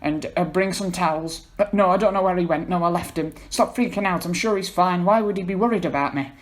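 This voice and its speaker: Man acting a distressed, high-strung young man. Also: fairly quick, natural voice. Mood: shaken, anxious. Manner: stammering, pleading, swearing. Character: distressed, tearful young man, pleading then defiant, sulky. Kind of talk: amateur dramatic reading of a story.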